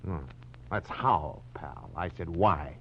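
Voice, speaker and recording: Low-voiced, menacing American gangster. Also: male voice, breathy edge, heavily acted. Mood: taunting. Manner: taunting, slangy, unhurried menace. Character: menacing bald gangster killer, cold, taunting, sadistic. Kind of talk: 1940s radio crime drama acting. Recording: dull, muffled vintage 1940s radio recording.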